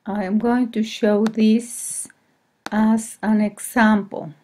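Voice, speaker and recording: A woman teacher with a Mexican accent, presenting to colleagues in a hesitant, halting way and often correcting herself. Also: mid-range voice, flat delivery, a little mellow. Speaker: English teacher. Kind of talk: screen-recorded presentation to colleagues.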